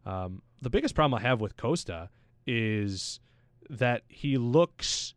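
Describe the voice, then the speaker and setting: A man's voice: medium pitch, animated, takes it slowly, no echo. Chatty man talking soccer, dry close sound. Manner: chatty, anecdotal, slangy. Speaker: soccer pundit on a talk show. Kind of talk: casual sports discussion.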